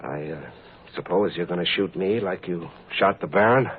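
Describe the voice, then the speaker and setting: Guy acting tough hunted man telling his story, low lively voice, noisy old radio. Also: moderate pace, phone-quality sound, lightly acted. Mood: wary defiance. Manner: tough, plain-spoken, dramatic. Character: hunted, defiant fugitive man telling his own escape. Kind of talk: 1950s radio drama first-person narration.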